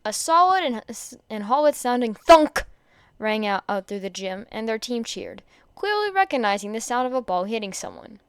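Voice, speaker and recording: Woman, story narrator, expressive reading, smooth clear voice, dry recording. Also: on the high side, normal speed, no room sound. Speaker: fan fiction reader.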